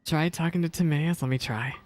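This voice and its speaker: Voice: mid-range voice, brisk, darkish tone. A gaming streamer giving casual, informal reaction commentary, often exclaiming and repeating words. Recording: voice over game music. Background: video game music.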